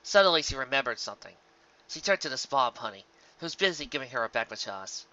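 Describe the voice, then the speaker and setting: An audiobook narrator with a bright voice and animated intonation. The scripted story is told in an expressive, dramatic way. The recording sounds slightly dull. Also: mid-range voice, fast talker.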